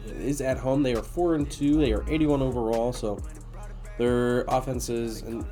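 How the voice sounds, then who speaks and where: A man with a raspy, nasal, congested voice giving casual commentary on a video game as host. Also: mid-range voice, steady tone, normal speed, dark tone, faint music behind. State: congested after being sick.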